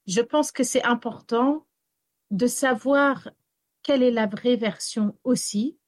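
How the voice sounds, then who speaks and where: A woman's voice: a little high, takes it slowly, no room sound. A woman raised in Wales, with English as her first language, answering interview questions about tales and songs and hesitating with many fillers. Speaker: storyteller and singer.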